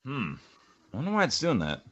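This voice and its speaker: A man hosting a podcast in casual chat, rambling and repeating his phrases over a low-fidelity voice call. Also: wildly swooping pitch, a little fast, fairly bright tone, husky, no echo. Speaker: podcast host. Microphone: voice call mic, close.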